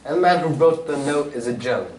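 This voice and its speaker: A distant, roomy stage recording of a man playing a self-assured detective in an old-style radio play. He is urbane and precise, lecturing with lively swings of pitch. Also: quick pace, slight background noise, lightly acted. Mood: keen, instructive. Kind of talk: stage reading of a golden-age radio drama.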